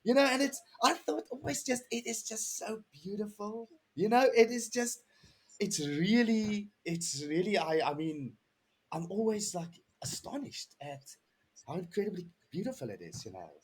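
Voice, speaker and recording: Husky South African man, rambling storyteller. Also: high voice, expressive, moderate pace. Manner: rambling, earnest, with many restarts and "you know". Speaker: podcast guest. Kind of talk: casual interview chat.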